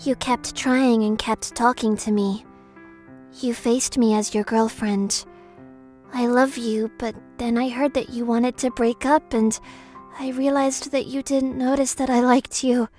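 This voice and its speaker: Casting: high-pitched, reserved young fiancée for a romance manga dub, played by a woman, halting and sincere, music faintly behind. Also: little pitch movement, darkish tone, natural voice. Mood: quietly sincere. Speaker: voice actress.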